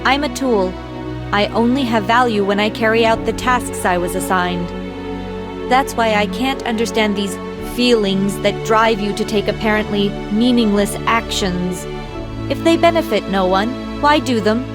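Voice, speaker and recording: A woman's voice: mid-range voice, moderate pace, close to an everyday voice. A woman voicing an emotionless artificial young girl in a video game, speaking formally and literally with earnest questions. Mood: detached questioning. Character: emotionless young girl, artificial being who calls herself a tool. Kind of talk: English video game voice acting. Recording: clear music behind the voice. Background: music.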